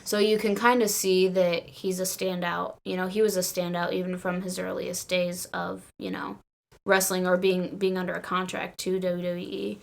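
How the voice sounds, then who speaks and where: A woman's voice: on the low side, level tone, moderate pace, gravelly. A woman chatting casually and earnestly as a panelist on a wrestling podcast. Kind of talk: casual wrestling fan chat.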